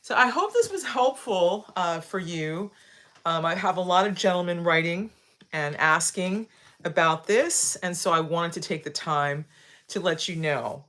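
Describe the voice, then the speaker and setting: Low-voiced sixty-year-old woman. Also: lively intonation, unhurried, a bit bright. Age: 60s. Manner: conversational, with frequent tag questions and repetition. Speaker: host of a live video demonstration. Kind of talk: casual instructional talk.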